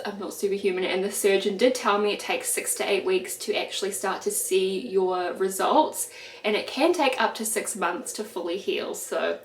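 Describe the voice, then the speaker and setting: A woman's voice: medium pitch, a little hiss or hum. A young woman with a New Zealand accent chatting casually in her kitchen, her voice nasal and congested, with many fillers. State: congested, stuffy nose. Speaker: vlogger. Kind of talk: casual chat. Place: home kitchen. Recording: echoey room.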